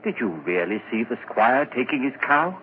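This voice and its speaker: A male actor playing a gentle, idealistic man in an earnest, dramatic 1940s radio style. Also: mid-range voice, sing-song, normal speed, lightly acted. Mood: troubled pleading. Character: gentle idealistic man with uncannily keen hearing. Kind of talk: 1940s radio horror acting. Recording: tinny, noisy old radio broadcast.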